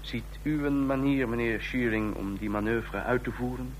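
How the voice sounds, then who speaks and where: An actor playing a suave, scheming ambassador in a Dutch radio drama, speaking formally and deliberately. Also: male voice, medium pitch, slight background noise, lightly acted. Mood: conspiratorial. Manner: formal, deliberate, declamatory when toasting. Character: suave, scheming ambassador of a small authoritarian state.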